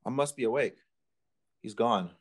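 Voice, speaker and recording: A man's voice: mid-range voice, normal speed, noisy background, natural voice. A young man playing a tormented, haunted man in dramatic 1940s radio horror style. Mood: tormented, fearful. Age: young adult. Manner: anguished, dramatic pleading. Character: tormented, haunted male concert pianist.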